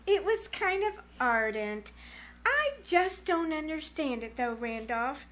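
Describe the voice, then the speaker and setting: A woman's voice: sits high, sing-song, noisy background, exaggerated voice. A woman playing a dramatic, gushing teenage girl in a 1940s-style radio comedy, with exaggerated exclamations. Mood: puzzled, dramatic. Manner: breathless, exaggerated, drawn-out exclamations. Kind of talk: 1940s radio comedy acting before a live audience.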